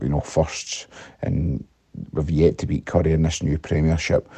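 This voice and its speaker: A man's voice: extremely low pitch, warm tone, breathy edge. A man giving interview remarks as a rugby coach in a hesitant, conversational way.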